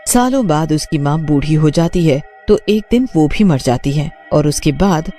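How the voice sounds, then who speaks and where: Mellow male story narrator. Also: a little high, expressive, moderate pace, soft background music. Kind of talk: scripted cartoon story narration.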